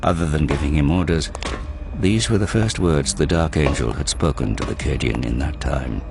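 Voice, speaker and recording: A man's voice: rumbling low, moderate pace, creaky. A man giving grave, intense scripted narration for an audio drama. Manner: intense, grave, literary delivery. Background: prominent music and sound effects.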